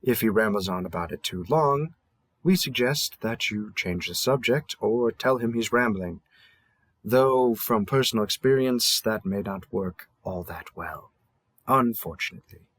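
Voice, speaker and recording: A man's voice: fairly low pitch, animated, fairly bright tone, no room sound. A man playing a cheerful, polite dating-app host in a scripted audio roleplay, with a courteous, presenter-like delivery. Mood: wry, informative. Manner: courteous, measured, salesman-like welcome delivery.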